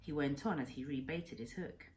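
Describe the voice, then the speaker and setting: Low-pitched woman reading a storybook. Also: moderate pace, darkish tone. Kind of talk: children's storybook narration.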